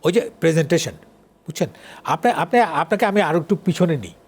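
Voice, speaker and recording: An older man with a Bangladeshi accent and a somewhat higher-pitched voice. He speaks in a casual interview style, explaining things conversationally and often checking that he is being followed. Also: expressive, a little fast, slightly rough. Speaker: guest, song lyricist and writer.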